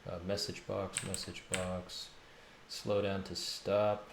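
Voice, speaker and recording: A man's voice: sits low, completely flat delivery, slow, gravelly. A man talking casually to himself in short muttered fragments as he works at coding. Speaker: game developer streaming his coding. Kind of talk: thinking aloud while coding, casual. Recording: noisy, roomy home recording with keyboard clatter. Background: computer keyboard typing and clicks.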